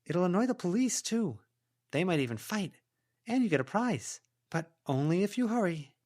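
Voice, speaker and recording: A man's voice: sits high, sing-song, normal speed, a little mellow, voice pushed up. A man voicing a bossy, persuasive young girl witch in an audiobook, with an urgent, coaxing manner. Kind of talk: dramatized audiobook character voice.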